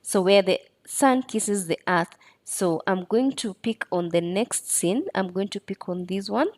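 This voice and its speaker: A woman's voice: mid-range voice, unhurried, a little mellow, no echo. A woman presenting a step-by-step screen tutorial in an instructional way.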